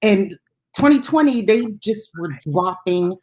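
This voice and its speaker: A woman's voice: mid-range voice, expressive, measured pace, a little mellow. A woman co-hosting a casual, unscripted talk show, chatting in an enthusiastic way. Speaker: music talk show co-host. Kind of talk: casual unscripted music chat.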